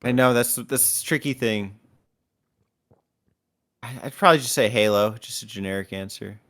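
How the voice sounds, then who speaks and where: A man's voice: mid-range voice, moderate pace, a bit bright. A man hosting a gaming podcast, reading listener questions in an easygoing, casual way. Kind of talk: casual chat.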